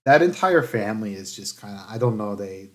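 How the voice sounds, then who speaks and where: A man giving casual review commentary in a halting, hedging way, with phrases that trail off. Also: lowish voice, animated. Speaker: anime reviewer.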